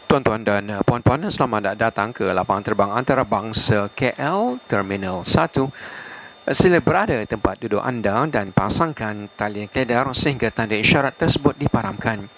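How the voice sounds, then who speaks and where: A man with a Malaysian accent reading formal cabin crew announcements in a halting way. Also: fairly low pitch, lively intonation, gravelly, a bit bright. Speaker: airline cabin crew member. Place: aircraft cabin, over the PA. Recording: faintly noisy aircraft cabin announcement audio.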